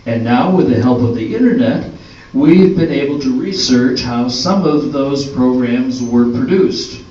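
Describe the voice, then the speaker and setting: An older male presenter reads a scripted introduction at a slow pace. His voice is on the higher side and reaches a distant mic through heavy room echo, with a dull, slightly noisy sound. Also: a little mellow. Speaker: announcer and presenter of an amateur radio play. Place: indoor hall at a live performance. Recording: roomy echoing hall, distant mic, dull, faint noise.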